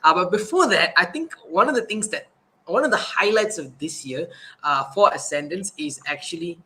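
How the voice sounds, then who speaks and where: A man's voice: high-pitched, expressive, normal speed, pushed and bright. A man hosting a casual online talk show, upbeat and laughing often, with frequent fillers and restarts. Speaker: host.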